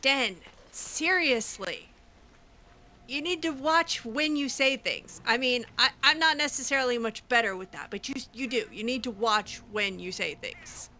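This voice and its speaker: A woman narrating her roleplay playthrough in a bright, slightly high voice. She talks slowly and casually, musing aloud, with faint music behind her. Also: slight background noise. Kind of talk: casual in-character game commentary.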